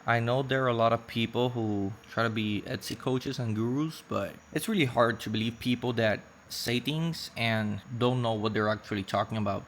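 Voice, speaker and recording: A man's voice: medium pitch, moderate pace, few pauses, slight background noise. A man whose first language is Spanish, vlogging casually about his online shop and walking through his screen, often correcting himself mid-sentence. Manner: rambling, self-correcting, uneven pace. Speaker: Etsy seller vlogger. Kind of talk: casual vlog with screen walkthrough.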